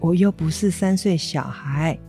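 A woman's voice: low voice, unhurried, warm tone, soft background music. A woman with a Taiwanese Mandarin accent acting a mild, stubborn injured mother in a radio drama. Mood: mildly defensive. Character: injured mother, mild, stubborn, defensive toward her son.

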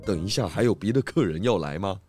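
A man's voice: deep voice, expressive, quick pace, darkish tone, slightly rough, no echo, studio quality, soft background music. An actor playing an earnest, food-loving male pig detective in a children's audio drama, with hesitant questions. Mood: earnest, puzzled.

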